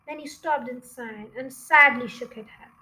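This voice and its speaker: A woman's voice: sits high, normal speed, sharp tone, slight background noise. A woman reading a children's storybook aloud as narrator. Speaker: audiobook reader.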